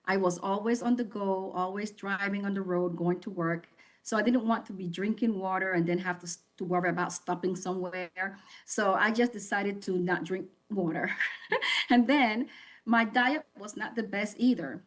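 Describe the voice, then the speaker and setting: A woman's voice: medium pitch, moderate pace. A woman sharing a personal health testimony in a candid, reflective storytelling manner.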